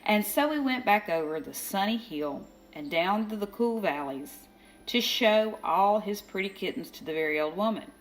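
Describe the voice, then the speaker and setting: A woman reading a children's picture book aloud as storyteller, expressive, with dramatic emphasis. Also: medium pitch, normal speed, low-quality recording. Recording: faint background noise.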